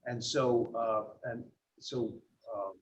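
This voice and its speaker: Muffled American board chair, a man explaining haltingly. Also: mid-range voice, fairly even intonation. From Massachusetts, USA. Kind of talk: informal explanation at a public board meeting. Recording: muffled, lo-fi meeting audio with noise.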